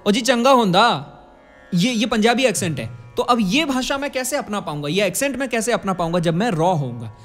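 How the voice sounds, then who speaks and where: A young man with a high voice and an Indian accent gives a singing and diction lesson. He explains step by step and demonstrates sounds, speaking into a close studio mic with faint music behind him. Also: lively intonation, a little fast, few pauses, fairly bright tone. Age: 20s. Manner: explanatory, demonstrates sounds, checks in with tag questions. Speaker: vocal music teacher. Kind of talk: instructional talk on singing and diction. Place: indoor curtained studio. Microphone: studio condenser microphone close in front.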